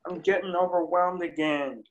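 A man's voice: high voice, fairly even intonation, moderate pace, close to an everyday voice, poor audio. A man reading the part of an anxious, easily overwhelmed man in a table read over a video call, in a whiny, flustered way.

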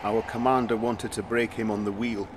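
Actor as a shaken, guilt-ridden former soldier, noisy background. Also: male voice, lowish voice, level tone, close to an everyday voice. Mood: haunted confessing. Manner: halting, nervous, stammering. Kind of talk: video game voice acting.

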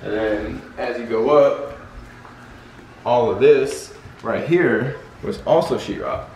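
A young man giving a casual tour of his house, talking in a relaxed, filler-heavy way and laughing often. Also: mid-range voice, slow pace, distant mic. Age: young adult. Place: large house with game room, indoors. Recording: roomy, off-mic with faint room noise.

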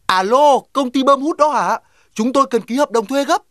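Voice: sits high, normal speed, fairly bright tone, no room sound, studio quality. An actor voicing a practical, talkative animal neighbour in a cartoon dub, persuading and organising the others. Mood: pleading. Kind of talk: Vietnamese children's cartoon dubbing.

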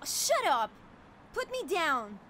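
A woman's voice: sits high, very animated, slow pace, bright, forceful tone, a little hiss or hum, heavily acted. A woman voicing a feisty young superhero kid in a comic dub, cheeky and exclamatory. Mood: snappy.

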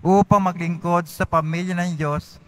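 Lector, man, fairly high clear voice, formal steady reading. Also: unhurried. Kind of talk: reading prayer intentions at mass.

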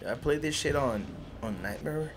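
A man's voice: a little high, moderate pace, noisy background. A man in his twenties giving casual, profane live commentary as he plays a video game, talking to himself. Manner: profane, excitable, talks to himself. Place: small bedroom, indoors. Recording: loud game music behind the voice. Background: loud game music.

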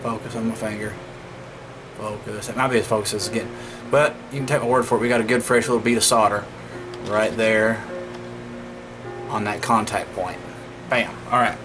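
A man's voice: on the low side, fairly even intonation, moderate pace, over music, rough recording. A man giving informal, folksy hands-on commentary, thinking aloud as he hosts a repair vlog indoors at a work table. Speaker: host of a DIY electronics repair vlog. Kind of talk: casual hands-on commentary while working. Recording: home recording with clear background noise.

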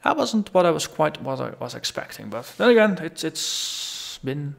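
A man's voice: on the high side, sing-song, normal speed, gravelly. A man hosting a gaming channel, talking through a long casual solo vlog, rambling and thinking aloud as his voice tires. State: voice tiring after a long recording.

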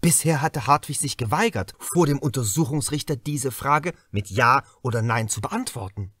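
A man's voice: medium pitch, expressive, moderate pace, a bit bright, breathy edge, dry recording, studio quality. A man narrating a crime novel as an audiobook, reading from a script with dramatic emphasis.

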